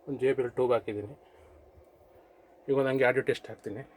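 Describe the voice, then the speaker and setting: A man's voice: medium pitch, fairly even intonation, fast talker, darkish tone. A man presenting a casual vlog as host and audio installer.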